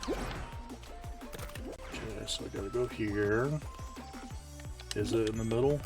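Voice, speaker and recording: A male streamer chatting casually while playing a game, rambling and correcting himself, sounding tired and a little hoarse. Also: a little slow, recorded from a distance, over loud music. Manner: rambling, self-correcting, low-key. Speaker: Twitch streamer. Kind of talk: casual live-stream gaming chat.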